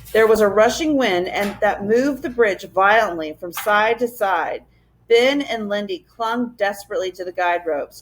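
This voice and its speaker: A woman reading a children's adventure story aloud as a teacher would, in expressive narration. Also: medium pitch, poor audio.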